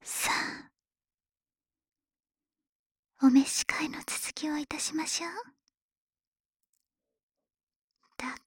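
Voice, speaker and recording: A woman playing a doting, domineering dragon maid in an ASMR voice drama, speaking politely with gentle teasing, close to the microphone. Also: sits high, fairly even intonation, moderate pace, no room sound. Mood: whispered, dominant. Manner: polite servile speech, gently teasing and coddling. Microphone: close microphone, dry.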